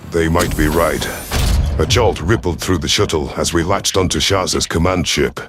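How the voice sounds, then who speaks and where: A man reading a scripted first-person science fiction story as a narrator. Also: sits low.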